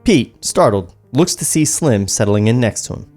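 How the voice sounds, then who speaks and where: A man's voice: mid-range voice, animated, normal speed, a little mellow, soft background music. A man hosting a podcast, reading screenplay stage directions aloud into a studio microphone. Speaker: podcast host and script narrator.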